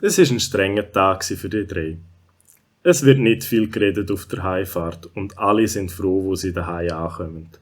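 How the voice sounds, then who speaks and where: A man's voice: deep voice, normal speed, faint music behind. A man with a Swiss German accent narrating a scripted children's story.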